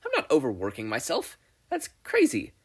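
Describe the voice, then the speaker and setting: A man's voice: mid-range voice, wildly swooping pitch, normal speed, husky, exaggerated voice. A man voicing an eager, overworked teenage boy who protests breezily in a startled, drowsy way, in a story reading. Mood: dismissive, defensive.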